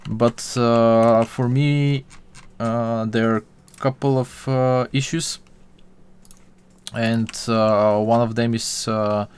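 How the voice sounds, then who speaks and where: A man's voice: medium pitch, fairly even intonation, slow pace, smooth. A young man from Bulgaria chatting with his live-stream viewers while he models, full of fillers and restarts.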